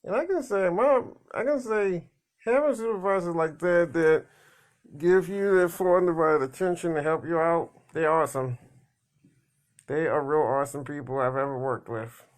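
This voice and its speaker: A man talking in a casual, rambling monologue, halting and repeating words, blunt and plain-spoken. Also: fairly high pitch. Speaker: vlogger.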